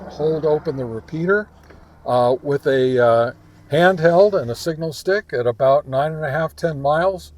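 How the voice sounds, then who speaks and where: A man giving a casual, informal product review and field test, talking in a halting, conversational way. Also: fairly high pitch, darkish tone. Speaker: ham radio reviewer and vlog host.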